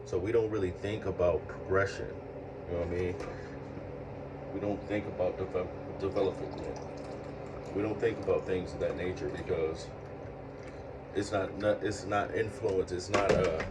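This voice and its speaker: A man's voice: low-pitched, steady tone, normal speed, long pauses, recorded from a distance, very noisy. A man in his late thirties speaking in an earnest, reflective monologue, often circling back over his words with repeated phrases. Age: 30s. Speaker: speaker sharing life lessons.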